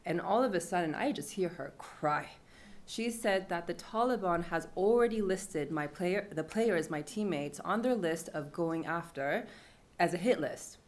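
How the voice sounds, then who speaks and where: Young woman, low register, loose conversational storyteller addressing an audience. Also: lively intonation, a little slow. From Iran. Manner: conversational, with fillers and laughs. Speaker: panel speaker. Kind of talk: storytelling talk at a panel event.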